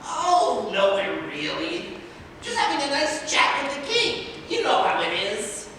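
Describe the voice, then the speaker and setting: A nervous manservant acted by a woman fawns and gossips in a bright, swooping voice. The delivery is hesitant and comic, slow-paced, on stage in a big echoing hall. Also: fairly high pitch, huge pitch swings, heavily acted. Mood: breezy gossipy. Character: nervous, fawning, gossipy male servant. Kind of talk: staged play reading. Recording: echoey hall from far off, faint noise.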